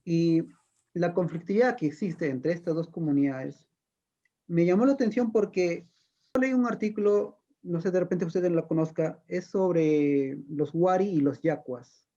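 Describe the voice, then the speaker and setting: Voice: mid-range voice, unhurried, warm tone, lo-fi sound. An interviewer asking a long, conversational question, hesitating and repeating words.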